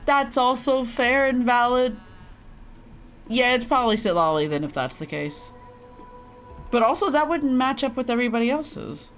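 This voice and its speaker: A woman's voice: a little high, lively intonation, moderate pace, pauses often, faint music behind. A woman livestreaming a video game, chatting casually and reading game text aloud in a giggly, reactive manner.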